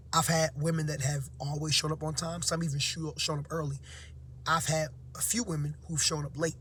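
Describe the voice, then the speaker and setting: Man, teacher vlogger, slightly hoarse, sleepy, fairly high voice, talky with fillers. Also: bright, forceful tone, a little hiss or hum. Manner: conversational, many fillers and "right?" tags. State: slightly hoarse, half-awake. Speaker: teacher and vlog host. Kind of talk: casual motivational talk to camera.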